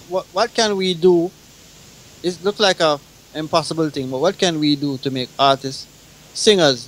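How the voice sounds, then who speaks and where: A middle-aged man, a singer talking casually as a guest in a halting, questioning way. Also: a little high, low-quality recording. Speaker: veteran singer, guest on talk show. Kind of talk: casual discussion.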